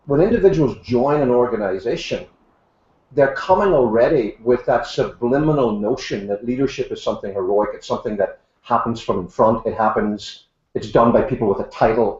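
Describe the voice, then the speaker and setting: A man's voice: mid-range voice, darkish tone. An older man with an Irish accent, a guest author talking in an online panel with dry, self-mocking humor and frequent "uh" fillers. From Ireland. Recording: echoey room, dull lo-fi sound.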